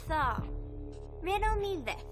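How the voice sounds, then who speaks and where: A woman's voice: very high-pitched, sing-song, slow pace, full character voice. A woman voicing a playful, pleading young vampire girl in a video game cutscene, with a childlike sing-song way of talking. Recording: loud music under the voice.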